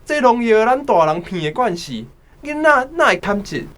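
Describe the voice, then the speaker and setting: An actor playing a grumbling village neighbor, a farmer turned mason, in a radio play. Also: male voice, very high voice, sing-song, normal speed, fairly bright tone, heavily acted. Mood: aggrieved.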